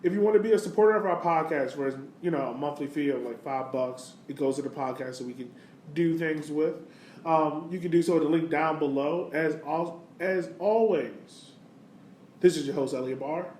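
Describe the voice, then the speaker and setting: A man with a fairly high-pitched voice talks casually as a podcast host. His delivery is conversational and peppered with "you know." The recording is roomy and echoey, with some noise behind him. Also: normal speed, a little mellow. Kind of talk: casual soccer podcast discussion. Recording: roomy echo, clear background noise.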